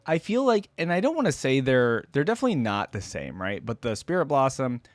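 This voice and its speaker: A man's voice: medium pitch, big pitch swings, fairly quick, no echo, clean studio sound, soft background music. A man giving casual, enthusiastic reaction commentary as a video game fan on his channel.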